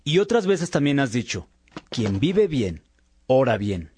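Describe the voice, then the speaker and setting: A man's voice: medium pitch, big pitch swings, a little slow, darkish tone, a little hiss or hum. A man playing a concerned, frank bishop and loyal friend in a religious radio drama. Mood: gently admonishing.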